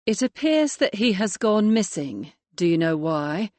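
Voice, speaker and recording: A woman voicing a brisk, firm female police detective who speaks crisply and with authority. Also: medium pitch, very animated, darkish tone, no room sound.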